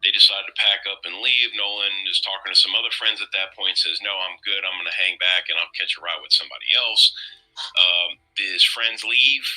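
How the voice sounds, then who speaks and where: A man's voice: sits low, fairly quick, bright, forceful tone, soft background music, rough recording. An American man hosting a conversational interview, talking with a guest and recapping a case. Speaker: show host interviewing a guest.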